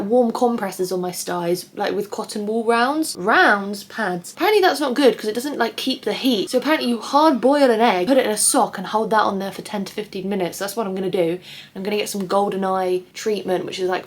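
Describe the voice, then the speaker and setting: A young English woman in her twenties chatting casually to camera in her bedroom, rambling and swearing with a self-described whiny voice. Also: mid-range voice. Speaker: vlogger. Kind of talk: casual vlog chat. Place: bedroom at home.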